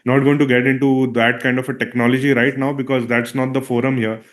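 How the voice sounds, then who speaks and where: A man's voice: medium pitch, level tone, a little fast. Man leading an online workshop. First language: Marathi. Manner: conversational, frequent "all right?" checks and "uh" fillers. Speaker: filmmaker and workshop presenter. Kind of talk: live online workshop talk.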